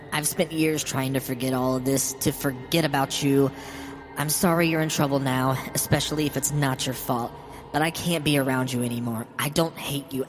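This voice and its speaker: A man voicing a bitter, grieving old mother in a horror story, wounded and resentful, confessing haltingly. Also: medium pitch, voice pushed up, natural voice. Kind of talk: dramatic horror story reading. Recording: long hall reverb. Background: music.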